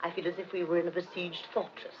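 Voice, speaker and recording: An actress playing a dutiful, gushing colonel's wife in 1950s British film style, chatty and earnestly effusive. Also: female voice, on the low side, flat delivery, quick pace, natural voice. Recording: muffled old film soundtrack.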